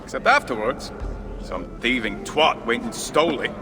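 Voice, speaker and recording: A man's voice: mid-range voice, lively intonation, moderate pace, natural voice. A man playing a sour, shifty dwarf rogue in a video game, with a sardonic, grumbling delivery. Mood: grumbling, sly. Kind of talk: video game cinematic acting. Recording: music under the voice. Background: cinematic game music.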